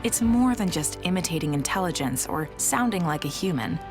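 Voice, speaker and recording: A woman's voice: lowish voice, expressive, a little fast. A woman narrating a scripted corporate promotional video in a polished, upbeat announcer style. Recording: studio voice-over with music bed. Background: music.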